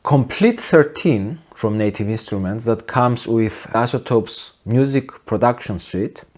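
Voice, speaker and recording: A man in his forties speaks at a middle pitch, laying out his opinions to camera. His delivery is deadpan and wry, in the style of a video essay. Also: measured pace. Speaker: host of a music production video channel. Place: small home studio, indoors.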